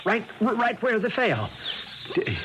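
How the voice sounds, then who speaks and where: An actor playing an excitable young American soldier with an American accent and folksy grammar in a 1970s radio drama. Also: male voice, sits high, measured pace. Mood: alarmed, puzzled. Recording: dull, noisy old radio recording.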